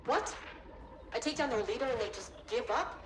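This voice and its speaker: A woman's voice: fairly high pitch, animated, normal speed, a little hiss or hum, lightly acted. A woman playing a resolute, middle-aged rebel commander and mother in a video game, speaking firmly. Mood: surprised, approving. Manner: firm, commanding.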